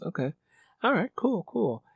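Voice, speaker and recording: A man's voice: sits high, big pitch swings, measured pace, gravelly, breathy edge, dry recording, clean studio sound. A man interviewing as host and fellow writer, conversational and effusive, repeating words for emphasis.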